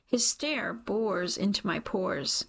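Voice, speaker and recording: Creaky-voiced woman reading a novel. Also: medium pitch, slow pace, a bit bright. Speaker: audiobook reader. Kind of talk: scripted first-person fiction narration.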